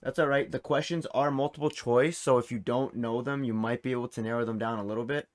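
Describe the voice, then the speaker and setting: A man's voice: mid-range voice, fairly even intonation, fast talker. A man hosting a video game trivia quiz in casual chat, informally reading questions and answer options aloud. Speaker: quiz host.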